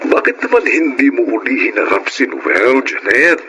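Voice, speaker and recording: An actor playing a genial, effusive charity foundation owner in a Filipino radio drama, informal and friendly, with a Filipino accent. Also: male voice, medium pitch, expressive, normal speed, sharp tone, soft background music. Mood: casual, curious.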